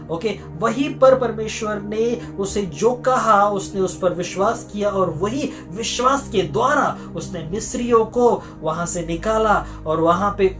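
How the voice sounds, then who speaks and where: A male pastor giving an earnest Bible teaching sermon, repeating phrases for emphasis. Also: sits high.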